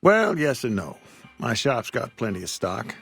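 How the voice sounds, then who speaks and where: A man's voice: medium pitch, sing-song, a little slow, a bit bright, faint music behind, heavily acted. A man voicing a weary old frontier shopkeeper who talks in a drawl. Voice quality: gravelly drawl. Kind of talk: video game dialogue.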